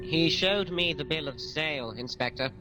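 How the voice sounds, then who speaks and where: Man playing a bright, plain-spoken police sergeant. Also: fairly high pitch, moderate pace, long reverb tail, soft background music, rough recording. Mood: matter-of-fact. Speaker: actor in audio drama. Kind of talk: old-time radio mystery acting.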